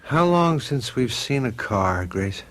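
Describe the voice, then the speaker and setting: An actor playing a weary, resigned husband in a radio mystery drama, terse and wry. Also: male voice, mid-range voice, very animated, slow pace, a bit bright. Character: weary, resigned husband and father stranded in fog.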